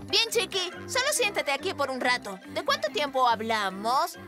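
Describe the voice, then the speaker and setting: A blustering little bear cub from a children's cartoon, squeals and exclaims in a very high voice. The delivery is impulsive and dramatic, leaping up and down in pitch over busy music. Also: very animated, moderate pace. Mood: bossy, eager.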